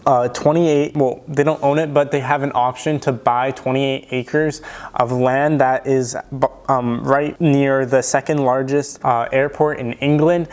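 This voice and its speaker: A young man with a raspy voice from tonsillitis, casually explaining a stock pick to his channel's viewers with lots of fillers. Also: medium pitch. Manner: chatty, filler-heavy, enthusiastic explaining. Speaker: stock investing YouTuber. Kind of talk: casual stock analysis talk to camera.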